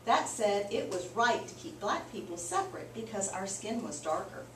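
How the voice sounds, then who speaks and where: A woman reading a picture book aloud to children like a teacher, with expressive, emphatic storytelling. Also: mid-range voice, normal speed. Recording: roomy, distant mic, clearly noisy background.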